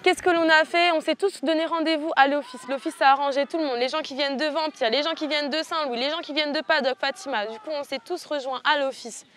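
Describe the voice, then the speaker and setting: A woman's voice: high voice, steady tone, quick pace, hardly any pauses, a bit bright, a little hiss or hum. A teenage woman from French Guiana, a student activist leader, giving impassioned, rhetorical interview answers outdoors. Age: teens. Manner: impassioned, rhetorical, repeats phrases.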